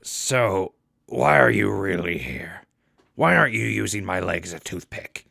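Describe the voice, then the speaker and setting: A man playing a wry wasteland radio host in an audio drama, dry in manner, with a gravelly voice. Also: low-pitched, sing-song, a little slow, sharp tone, heavily acted. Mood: sardonic, wary.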